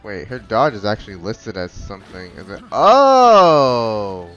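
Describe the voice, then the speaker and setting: Slow-paced, animated gamer commentary. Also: mid-range voice, dull audio. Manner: informal, reactive. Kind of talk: casual gameplay commentary. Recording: game music behind the voice. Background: game music.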